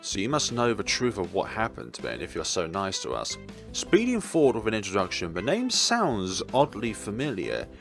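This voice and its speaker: A man reading a visual novel story aloud in an expressive, dramatic way, as a let's player. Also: on the low side, normal speed, vocal fry. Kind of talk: expressive read-aloud narration of game text. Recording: music playing behind the voice. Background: game background music.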